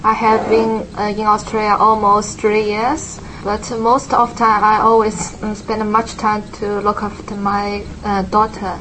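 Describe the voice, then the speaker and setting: A woman from China, introducing herself haltingly as an English student. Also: mid-range voice, little pitch movement, background noise. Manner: hesitant, halting learner's English.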